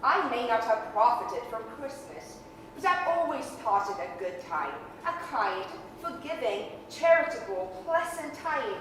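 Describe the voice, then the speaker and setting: A woman playing a miser's cheerful, warm-hearted young nephew in a radio-style drama, earnest and exclamatory. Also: a little high, very animated, measured pace. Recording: very echoey hall, distant, faint noise.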